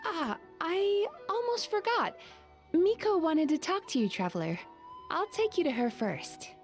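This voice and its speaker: A high-voiced, considerate young woman in a video game scene. Her delivery is friendly and conversational with very lively pitch, over faint music. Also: a little slow, exaggerated voice. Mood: hurried, polite. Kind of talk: video game cutscene acting.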